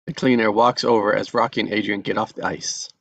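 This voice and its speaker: Low-voiced man reading stage directions. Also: fairly even intonation, a little fast, vocal fry, fairly bright tone, dry recording, muffled recording. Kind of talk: reading script action aloud.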